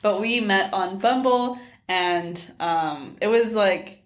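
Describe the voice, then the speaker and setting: A woman in her twenties co-hosting a casual couple Q&A vlog, chatty and giggly, in a small bright room. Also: medium pitch, takes it slowly. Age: 20s. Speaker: co-host.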